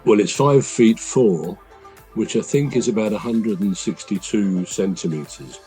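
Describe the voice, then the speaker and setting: A man with a deep voice, hosting a podcast and explaining things at length in a casual, measured way. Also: warm tone, faint music behind, low-quality recording. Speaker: podcast host.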